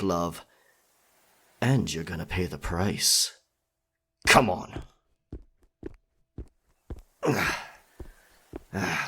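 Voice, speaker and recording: Slow, deep, husky pirate captain. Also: male voice, a bit bright, heavily acted. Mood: menacing. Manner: menacing, mocking drawl with pirate slang. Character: sadistic, taunting male pirate captain. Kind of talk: ASMR roleplay acting.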